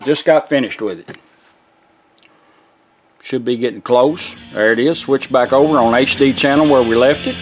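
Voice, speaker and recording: A man's voice: medium pitch, moderate pace, pauses often, soft background music. A man giving a casual, hands-on product demonstration in a folksy, plain-spoken way.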